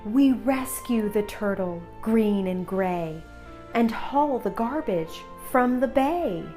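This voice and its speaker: Slow talking lady doing a kids read aloud, teachy and deliberate, music playing behind her. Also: on the high side, lively intonation, warm tone. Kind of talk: scripted children's read-aloud narration.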